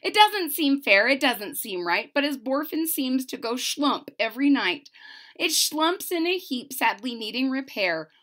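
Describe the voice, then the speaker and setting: A woman with a high, bright voice reads a picture book to children. Her delivery swings widely up and down, with theatrical stress on key words. She drops into casual, chatty asides between the verses. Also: sing-song, unhurried. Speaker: storyteller reading a picture book aloud. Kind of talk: expressive children's read-aloud with casual asides. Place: small room at home.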